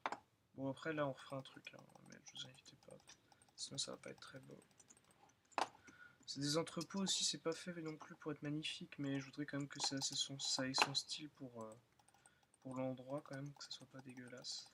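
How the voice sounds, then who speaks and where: Slightly hoarse young man over keyboard clicks. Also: medium pitch, little pitch movement, a little fast, very noisy. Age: young, still in school. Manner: rambling, thinking aloud with many fillers. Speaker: gaming channel host. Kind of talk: casual gameplay commentary. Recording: loud keyboard and mouse clicking.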